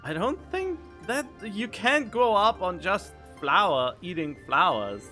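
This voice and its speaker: A man giving casual let's play commentary as a game streamer, halting and repeating words as he thinks aloud. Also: high voice, big pitch swings, bright, forceful tone, soft background music.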